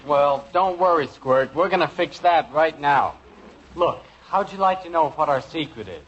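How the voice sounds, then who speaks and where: An American actor playing an eager, wheedling, jumpy teenage brother in a 1950s radio sitcom. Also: male voice, a little high, lively intonation, moderate pace, a bit bright. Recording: noisy, muffled old radio recording.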